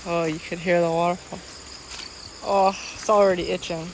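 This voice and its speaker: A woman's voice: fairly low pitch, level tone, moderate pace. A woman chatting casually outdoors on a trail, rambling and filling her sentences with "like". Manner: rambling, filler-heavy, repeats "like". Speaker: vlogger. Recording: noisy outdoor audio with insect ambience. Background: insects and nature ambience.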